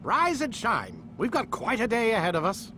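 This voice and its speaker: An actor playing a haughty older scientist in a video game, with a pompous, formal, lecturing manner. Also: male voice, high voice, wildly swooping pitch, moderate pace, sharp tone, full character voice. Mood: brisk, cheerful. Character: haughty older male scientist, smug and controlling. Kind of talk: theatrical video game cutscene acting. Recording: clear background noise.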